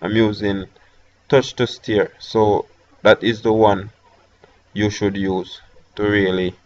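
A man with a Jamaican accent talking through a software tutorial step by step, with hesitant fillers between instructions. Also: lowish voice, little pitch movement, slow pace, lo-fi sound, slight background noise. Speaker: tech tutorial host. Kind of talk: screen-recorded software tutorial.

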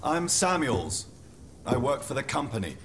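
An actor playing a polite, earnest company man in a sci-fi video game, speaking carefully and gently. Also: mid-range voice, sing-song, unhurried, heavy background noise. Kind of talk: video game cutscene acting. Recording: dialogue under loud game soundtrack.

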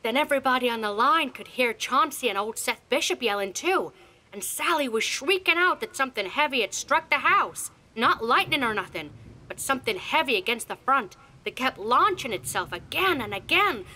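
Woman doing a scared stammery villager lady, high and bright, voice jumping all over. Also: sing-song, moderate pace, heavily acted. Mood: shaken. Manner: breathless stammering panic. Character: terrified rural villager woman. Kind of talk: horror audio drama acting.

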